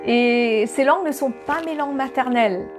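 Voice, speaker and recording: A woman's voice: high-pitched, normal speed. Humble Mauritian woman, music behind. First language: Bhojpuri. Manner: humble, gentle, many hesitations. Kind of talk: bilingual spiritual teaching video. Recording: music playing clearly behind her.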